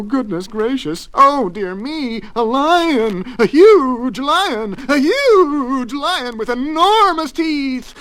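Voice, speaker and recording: A tiny, easily terrified storybook character, speaking in a shrill, high voice. He exclaims and frets breathlessly, drawing words out with big swoops of pitch. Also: a bit bright, heavily acted. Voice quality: shrill, shrieking. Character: tiny, timid, panicky little male storybook character.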